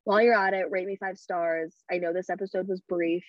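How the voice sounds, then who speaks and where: A woman's voice: lowish voice, steady tone, a little fast, no echo, highs cut off. A young woman hosting her own podcast alone, speaking bluntly and candidly with crude humor. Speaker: podcast host. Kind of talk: casual solo podcast talk. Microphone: podcast microphone.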